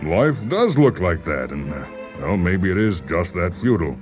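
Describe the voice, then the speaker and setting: A man playing a calm, reasoning doctor in a dramatized story, measured, hesitant and placating. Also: low-pitched, faint music behind. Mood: patient, resigned. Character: calm, reasoning male doctor humoring a troubled patient. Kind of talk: dramatized short story reading.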